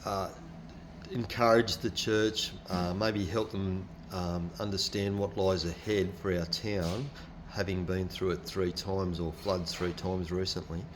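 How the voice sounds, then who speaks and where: A man's voice: low-pitched, little pitch movement, a little slow, background noise. A man with an Australian accent hosting at church, putting unscripted questions to a guest in a hesitant, searching way. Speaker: church host interviewing a guest pastor. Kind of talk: unscripted live interview questions.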